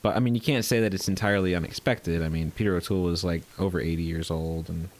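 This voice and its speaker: Young male podcast co-host, very low, congested with a cold, rambling chat. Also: fairly even intonation, fast. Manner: rambling, many fillers and restarts. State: congested with a cold, stuffy and scratchy. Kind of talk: casual movie chat.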